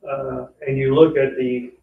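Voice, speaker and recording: A man's voice: mid-range voice, level tone, unhurried, vocal fry. A man speaking as a town official, explaining procedure at a public board meeting. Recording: echoey, muffled meeting room, distant mic.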